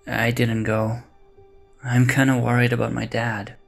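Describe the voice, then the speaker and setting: A man's voice: mid-range voice, monotone, a little slow, gravelly, soft background music. A man acts out an earnest young son just starting college. He is devoted and anxious, speaking in an everyday mid-range voice in an audio drama. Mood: worried. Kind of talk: amateur audio drama acting.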